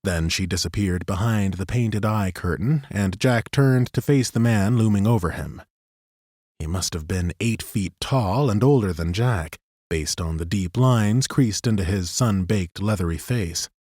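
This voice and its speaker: A male narrator reading a fantasy audiobook in a steady, even storytelling style. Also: lowish voice, measured pace, breathy edge, no echo, studio quality.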